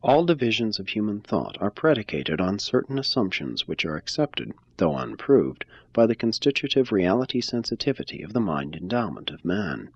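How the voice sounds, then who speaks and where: Husky low-voiced man reading aloud. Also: very animated, normal speed, hardly any pauses, no room sound, dull audio. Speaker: reader. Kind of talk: scripted reading of a religious text.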